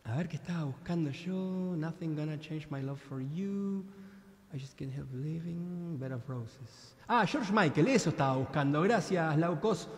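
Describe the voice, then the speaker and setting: A man's voice: on the high side, a little slow. A man in his thirties with an Argentine accent, chatting casually with viewers during a live-stream singing session and groping for words as he switches languages. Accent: Argentine Spanish. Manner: chatty, switching between Spanish and Portuguese, searching for words. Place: small indoor room with a virtual beach backdrop. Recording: long reverb on the voice.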